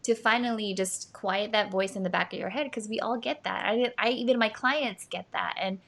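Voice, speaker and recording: A woman's voice: mid-range voice, moderate pace, gravelly, fairly bright tone. A woman hosting a live online show, bubbly and exclaiming often, tired from little sleep. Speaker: host. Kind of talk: energetic live show chat. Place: home studio in San Diego.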